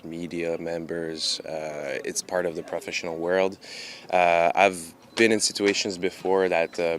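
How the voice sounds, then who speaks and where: Pro athlete, French Canadian man, very deep and even delivery, halting press answers. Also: slight background noise. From Montreal, Canada. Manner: measured, halting, many filler pauses. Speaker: pro soccer goalkeeper.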